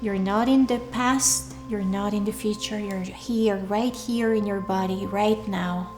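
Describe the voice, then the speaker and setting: A woman leading a guided meditation in a soothing, deliberate way, leaving pauses between short phrases. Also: mid-range voice, fairly even intonation. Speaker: meditation guide, workshop leader. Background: soft background music.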